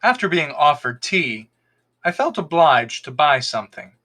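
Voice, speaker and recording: A man reading a folktale aloud as an expressive podcast storyteller, in scripted narration. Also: a little high, measured pace.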